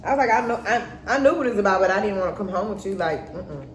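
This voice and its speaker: A woman's voice: medium pitch, expressive, rapid-fire. A middle-aged woman telling a personal story casually and candidly, acting out remembered conversations as she goes. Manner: candid, acts out remembered dialogue. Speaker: mother co-hosting a storytime vlog with her daughter. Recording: roomy echo, faint background noise.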